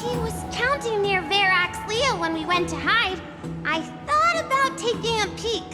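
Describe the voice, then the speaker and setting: A proud, enthusiastic small child, very high in pitch and emphatic. It is video game acting with reverb on the voice and loud music behind. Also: female voice, expressive, measured pace. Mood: earnest. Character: proud, enthusiastic little child from warrior people.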